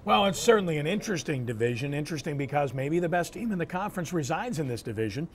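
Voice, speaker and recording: A man's voice: fairly high pitch, animated, quick pace, slight background noise. A man hosting a sports talk show, presenting and discussing in a broadcast style. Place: live remote broadcast from a local venue.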